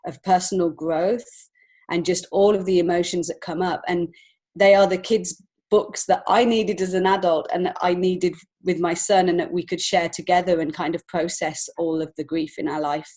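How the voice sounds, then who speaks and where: Female podcast guest, fairly low voice. Emotionally open, informal personal storyteller, an author talking about her own life. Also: steady tone, normal speed, darkish tone. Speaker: podcast guest, children's book author and illustrator.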